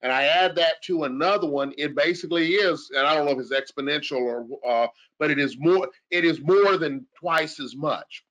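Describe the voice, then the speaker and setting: A man giving an informal technical explanation as an expert, thinking aloud with halting, repeated phrases. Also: fairly high pitch, a bit bright, dull audio. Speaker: audio engineering expert answering questions.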